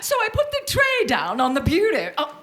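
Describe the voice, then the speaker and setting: A melodramatic, haughty housewife in a live radio play. Her voice is very high and leaps about dramatically. She is snappish and snobbish in manner. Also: huge pitch swings, moderate pace, fairly bright tone, heavily acted. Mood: defensive, recounting. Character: Amelia, haughty defensive housewife in mourning, sharp-tongued.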